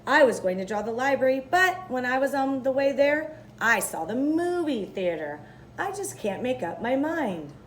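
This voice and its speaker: A woman's voice: high-pitched, big pitch swings, normal speed, slight background noise. A woman voicing an earnest, indecisive young boy in a children's storybook. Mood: torn, uncertain.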